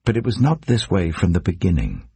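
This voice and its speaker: A man reading scripture as a narrator in a solemn, measured, dramatic storytelling delivery. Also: low-pitched, huge pitch swings, creaky, mellow, husky, no room sound. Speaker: Bible narrator.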